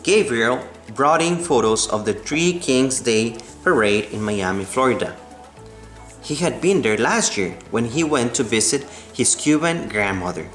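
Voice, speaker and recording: A man reading a children's book aloud in a measured way, stumbling now and then. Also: medium pitch, fairly bright tone. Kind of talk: storybook read-aloud. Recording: roomy echo, music behind the voice.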